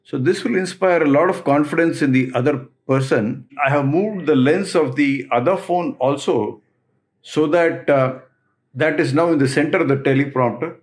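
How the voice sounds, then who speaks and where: A man's voice: fairly high pitch, moderate pace. A man methodically explaining equipment as the presenter of a tech tutorial.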